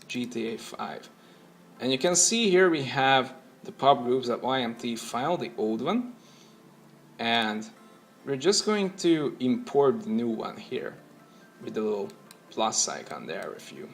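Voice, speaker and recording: A man narrating a casual software tutorial, explaining things step by step as the host. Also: mid-range voice.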